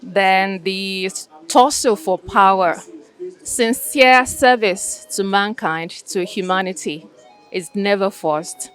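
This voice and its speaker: A woman's voice: medium pitch, slow, a bit bright. A woman giving a spoken testimonial as an audience member. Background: music.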